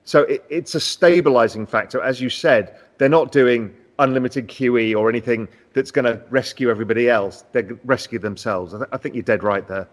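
A man's voice: mid-range voice, brisk, few pauses. Guy breaking down the economy, confident, punchy, leans on key points. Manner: confident, explanatory, animated emphasis. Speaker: macro analyst in a finance interview. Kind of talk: conversational market discussion.

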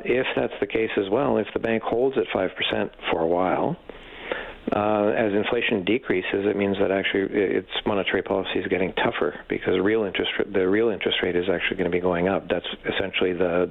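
A man giving expert economic commentary in a radio interview, speaking in a measured, halting way with slightly dull audio. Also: deep voice, steady tone, gravelly. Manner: measured, halting, hesitant phrasing. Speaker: economics professor, interview guest.

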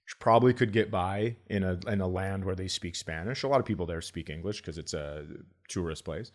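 A man hosting a comedy podcast, telling stories in an animated, grumbling, sweary way and acting out quotes. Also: low voice, a little fast. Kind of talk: comic storytelling in casual podcast chat.

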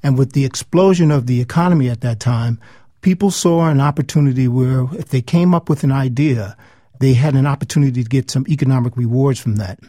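A man's voice: medium pitch, fairly quick, dark tone, no echo, clean studio sound. A man speaking as an author and interview guest, explaining things conversationally.

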